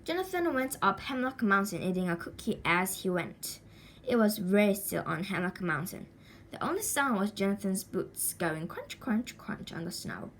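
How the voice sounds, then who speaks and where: A woman reading a children's story aloud in an expressive, deliberate way with a sing-song lilt. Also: mid-range voice, a little hiss or hum. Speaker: storybook reader.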